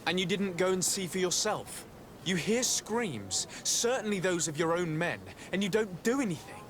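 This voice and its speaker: A man's voice: high voice, lively intonation, moderate pace, fairly bright tone, slight background noise. An actor playing a formal, determined young nobleman and envoy in a video game cutscene, speaking with probing authority. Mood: suspicious, accusing.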